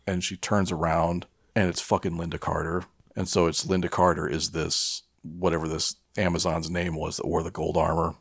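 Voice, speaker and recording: A man co-hosting a podcast, chatting casually about films in a gravelly voice, rambling and swearing freely. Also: deep voice, level tone, normal speed, few pauses, dry recording, clean studio sound. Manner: profane, rambling. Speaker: podcast co-host.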